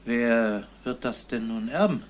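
An actor playing a calm, methodical police inspector who questions witnesses matter-of-factly in a German crime radio drama. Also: male voice, medium pitch, measured pace, close to an everyday voice. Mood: probing. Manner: probing, matter-of-fact questioning.

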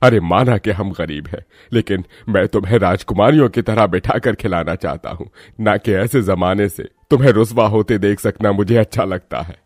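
A man's voice: on the low side, normal speed, hardly any pauses, dry recording, clean studio sound, close to an everyday voice. A man voicing a poor, proud village father in a cartoon story, scolding and hurt, with reproachful questions.

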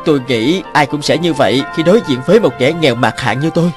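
A man's voice: a little high, expressive, quick pace, lightly acted. A man playing a proud, guarded young garage worker fallen on hard times in a cartoon. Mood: moved. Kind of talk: cartoon dubbing. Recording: music behind the voice.

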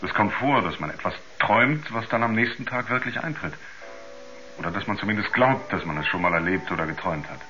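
Sober radio play narrator, measured, lowish but really sharp voice, old dull recording. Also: male voice, faint music behind. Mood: reasoning. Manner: measured, articulate storytelling. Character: sober male narrator, astronaut's concerned confidant. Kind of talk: 1970s German radio drama narration. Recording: narrow-band 1970s radio play recording.

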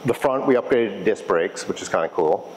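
A man speaking as a restoration technician in a casual interview, explaining in a halting, conversational way and repeating phrases. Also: on the low side, rough recording. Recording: clear steady background noise.

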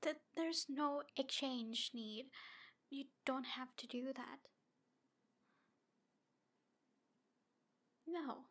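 A woman voicing a jealous, obsessive yandere demon woman in an ASMR roleplay, breathy and halting, often slipping toward a whisper. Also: high-pitched, fairly bright tone, full character voice. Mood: coaxing.

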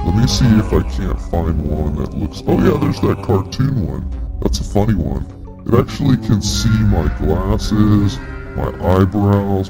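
A man's extremely deep, monster-like voice, altered by a voice changer, gives casual live game commentary. Game music plays behind him as he talks. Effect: voice changer, deep monster-like pitch shift. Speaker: game streamer.

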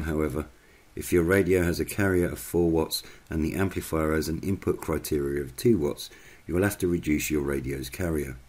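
A man reading a scripted explainer narration in a measured, instructional way. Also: very deep voice, darkish tone.

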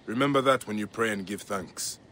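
An actor playing a stern, principled male desert warrior and protector in a video game, speaking in a formal, measured way. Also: on the low side, sharp tone, slight background noise. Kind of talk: dramatic game cutscene dialogue.

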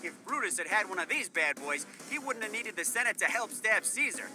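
A slick TV auction host in a video game, pitched high and hyped up. He sells with showy patter while music plays clearly behind him. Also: sing-song, brisk. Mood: showy, upbeat. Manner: showy salesman delivery. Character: slick, hyped-up TV shopping host selling knives.

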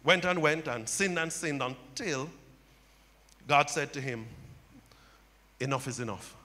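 A middle-aged male pastor preaching a sermon on a church stage into a handheld mic, building to loud impassioned cries. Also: fairly high pitch, unhurried. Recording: long hall reverb.

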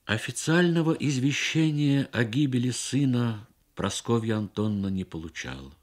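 A man narrating a literary story for radio with measured, expressive phrasing and deliberate pauses. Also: medium pitch. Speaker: story reader.

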